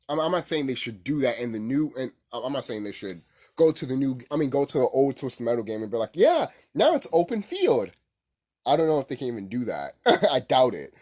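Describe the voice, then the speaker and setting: A man's voice: mid-range voice, a little mellow. A man giving a casual, unscripted opinion rant as a gaming commentator, blunt and repetitive with heavy swearing.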